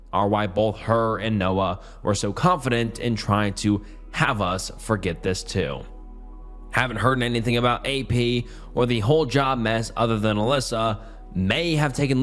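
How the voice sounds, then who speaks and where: A man reading Reddit posts aloud as a YouTube story narrator, his voice strained and partly going hoarse, in a casual, chatty delivery. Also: lowish voice, a bit bright.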